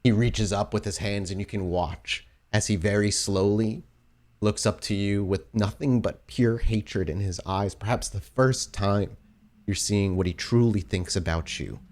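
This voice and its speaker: A man narrating a tabletop roleplaying game as storyteller, in a measured, descriptive and dramatic cinematic style. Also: lowish voice, darkish tone, slight background noise. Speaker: game master, storyteller of an actual play podcast.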